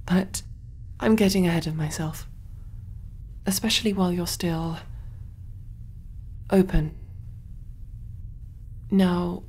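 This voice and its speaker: Casting: woman as motherly alien medic for sci-fi ASMR roleplay. Low-pitched, soft and whispery, gentle, reassuring, over a noisy ambient bed. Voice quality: soft, breathy, often whispery. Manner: gentle, reassuring, musing aloud while working. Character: kind, motherly female alien surgeon rescuing a human.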